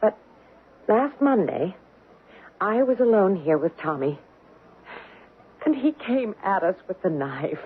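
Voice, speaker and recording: A woman's voice: medium pitch, big pitch swings, slow pace, long pauses, narrow, tinny audio. An actress playing a frightened, anxious young wife and mother in an old radio drama, nervous and hesitant. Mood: anxious, earnest. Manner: nervous, hesitant, repeating words. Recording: band-limited, noisy old radio recording.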